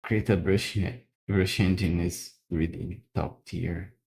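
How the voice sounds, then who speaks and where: A Brazilian man speaks English with a Brazilian accent in a very low, deep voice. He talks slowly and casually while drawing, often stopping to repeat a word before he goes on. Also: steady tone, creaky, darkish tone, husky. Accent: Brazilian Portuguese. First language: Brazilian Portuguese. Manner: halting, repeats words while thinking. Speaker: illustrator.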